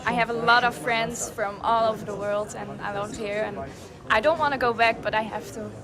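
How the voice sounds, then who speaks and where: A woman's voice: on the high side, steady tone, fairly quick, crowd noise. A woman in her twenties from the Netherlands, a native Dutch speaker, giving a student testimonial. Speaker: student teacher. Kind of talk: testimonial to camera.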